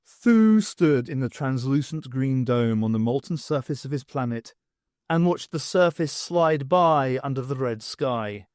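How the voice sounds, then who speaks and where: A man reading a novel aloud as an audiobook, with expressive, dramatic narration. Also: mid-range voice, measured pace, no echo, studio quality. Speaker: audiobook reader. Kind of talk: scripted fiction narration with acted dialogue.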